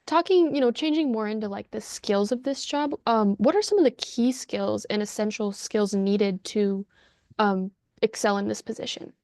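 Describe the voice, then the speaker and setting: A woman's voice: medium pitch, normal speed, a little mellow, dry recording, clean studio sound. A woman asking questions as an interviewer in an informal, slightly tentative way, often saying "like".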